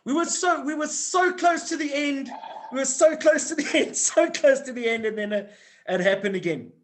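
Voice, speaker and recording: Playful high-voiced man hosting. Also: moderate pace. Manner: playful, repeats himself for emphasis. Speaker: podcast host. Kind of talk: casual video-call chat. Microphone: video call.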